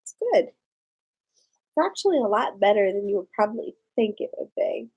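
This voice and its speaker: A woman's voice: medium pitch, very animated, normal speed, a little mellow, husky. A woman from Maryland with a Maryland accent, chatting in a rambling way with viewers on a live stream. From Maryland, USA. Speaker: vlogger. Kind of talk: casual live-stream chat.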